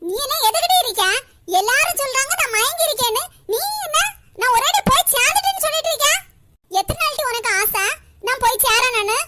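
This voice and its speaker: A woman voicing a fierce old grandmother who shouts and scolds in a family drama. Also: very high voice, quick pace, no room sound. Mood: furious scolding.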